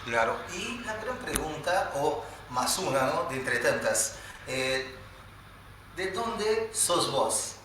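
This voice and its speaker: A man's voice: on the high side, very animated, takes it slowly, pushed and bright, slight background noise. A man from Spain hosting a live interview, asking long, rambling questions. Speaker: host. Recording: echoey room, far from mic.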